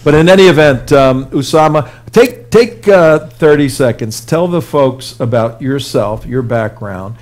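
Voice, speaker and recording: A man's voice: mid-range voice, animated, unhurried, hardly any pauses. Informal male talk show host. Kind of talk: casual live interview banter.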